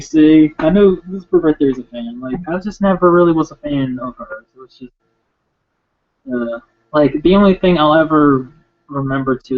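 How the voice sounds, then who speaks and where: Guy with a fry-heavy voice rambling sarcastic about wrestlers, phone-y tinny sound. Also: fairly high pitch. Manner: sarcastic, rambling, lively impressions. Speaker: wrestling commentator. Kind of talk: casual rant.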